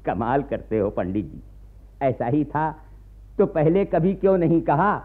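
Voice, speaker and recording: An actor playing a poor, burdened peasant farmer in a radio play, pleading and bitterly resigned. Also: male voice, a little high, very animated, a little slow. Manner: pleading, aggrieved, weary sarcasm. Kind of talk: Hindi radio play acting. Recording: very muffled, narrow-band archival radio recording.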